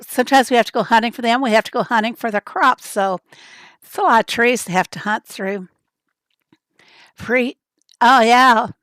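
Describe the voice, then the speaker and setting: A woman with a raspy voice, hoarse from a summer cold, chatting her way through a livestream in a loose, rambling manner. Her voice sits a little high, and she greets viewers from the chat as she goes. The sound is dry, with no room echo. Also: moderate pace, pauses often, fairly bright tone. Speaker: livestream host.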